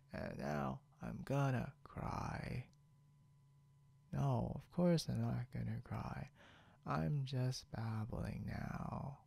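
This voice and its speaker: A man playing a very slow, gravelly drunk guy. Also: medium pitch, warm tone, no room sound, full character voice. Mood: flirty, sulky.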